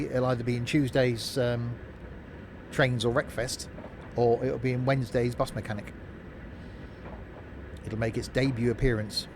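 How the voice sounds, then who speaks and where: A man with a mid-pitched voice talks off the cuff as a game streamer. He is informal and jokey, drifting between commentary and replies to his chat. A steady bed of noise and vehicle rumble sits clearly behind his microphone. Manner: informal, jokey, chatty asides. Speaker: live streamer playing a train simulator and chatting with viewers. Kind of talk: casual live game commentary, replying to chat. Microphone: streaming microphone through a mixing desk.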